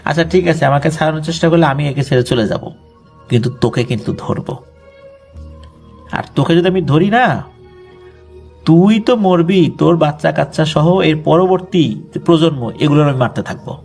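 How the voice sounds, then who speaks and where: A man's voice: fairly high pitch, lively intonation, normal speed, vocal fry, darkish tone, breathy edge, soft background music, full character voice. A man with a Bangladeshi accent voicing an arrogant, menacing jinn possessing a villager in a horror story, threatening and commanding. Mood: menacing threat.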